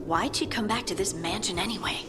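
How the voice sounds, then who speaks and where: A woman's voice: mid-range voice, fairly quick, over music, natural voice. A woman playing a blunt, curious young female adventurer companion in a video game.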